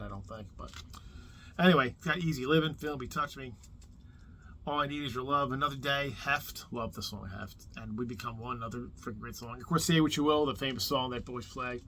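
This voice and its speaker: A man with a thick New Jersey accent talks his way through a casual countdown of favorite albums. His voice sits at an ordinary middle pitch for a man. He rambles with enthusiasm, stumbling over restarts and fillers as he goes. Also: pushed and bright, a little hiss or hum. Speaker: YouTube host.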